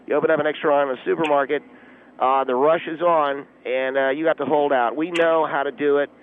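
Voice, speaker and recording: A man playing an officious, self-important but clueless volunteer auxiliary policeman on a phone line, deadpan radio comedy with pompous, halting tactical jargon. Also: a little high, slight background noise. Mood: officious, confident. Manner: pompous pseudo-tactical jargon, hesitant filler-laden delivery. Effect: telephone line. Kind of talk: deadpan comic radio call-in. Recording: tinny, narrow phone line.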